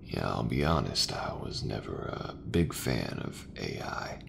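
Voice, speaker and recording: A man plays a gentle mech mechanic in a sci-fi ASMR roleplay. His voice is extremely deep and thick with gravel, murmured softly and close to a whisper. He is unhurried and reassuring, with rumble and clicking noise clearly behind him. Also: big pitch swings, full character voice. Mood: reflective, easygoing. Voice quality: very low, soft, breathy murmur, often near whisper.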